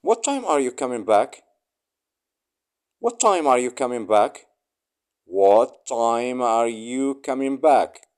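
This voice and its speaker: A man reading short English phrases aloud for learners, saying each one three times slowly and clearly. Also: medium pitch, expressive.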